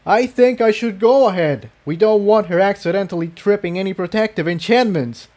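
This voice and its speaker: A man's voice: high voice, normal speed, a little mellow, pitched above the performer's own voice, close to an everyday voice. A man voicing a cautious, serious, learned male unicorn in a fantasy story reading, earnest and wary. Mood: concerned.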